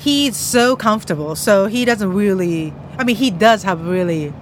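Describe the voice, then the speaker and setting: A woman's voice: medium pitch, animated, normal speed. Female co-host, native Japanese speaker with a Japanese accent, bubbly and teasing, a slight hiss of noise behind her. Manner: bubbly, laughs often, teasing. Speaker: podcast co-host. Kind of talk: casual chat.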